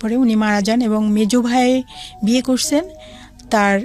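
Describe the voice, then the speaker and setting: A woman's voice: on the high side, steady tone, a little slow, a little mellow, faint music behind. A middle-aged woman with a Bangladeshi accent, telling her life story conversationally as a guest and quoting remembered dialogue as she goes.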